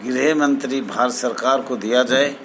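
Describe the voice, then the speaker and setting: A man giving an informal explanatory address to fellow members, speaking haltingly with formal honorifics and repeated phrases. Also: medium pitch, fairly even intonation, noisy background. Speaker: home guard association spokesman.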